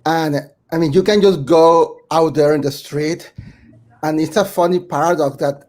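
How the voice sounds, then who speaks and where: A fairly high-voiced man from Mexico speaks English on a video call. His manner is earnest and halting, and he often restarts his sentences. He talks as a panelist in a casual discussion. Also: fairly even intonation, a little mellow. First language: Spanish. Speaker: panelist, theatre creator.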